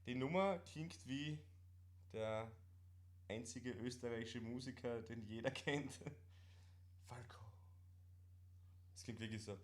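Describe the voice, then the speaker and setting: A man's voice: mid-range voice, takes it slowly, long pauses. Austrian man bantering casually in dialect. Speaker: live stream co-host. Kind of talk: casual banter on a live stream.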